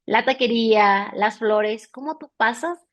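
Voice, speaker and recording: A woman's voice: on the high side, unhurried. A woman hosting an interview, asking long questions and encouraging her guest with frequent affirmations. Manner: warm prompting with frequent affirmations. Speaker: interview host. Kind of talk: casual interview conversation.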